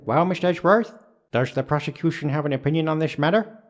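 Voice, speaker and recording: A man's voice: a little high, fast, natural voice. A man playing a pompous, easily baffled old judge in a courtroom game, speaking formally and ponderously. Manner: formal, ponderous, puzzled questioning. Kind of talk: dubbed courtroom game acting.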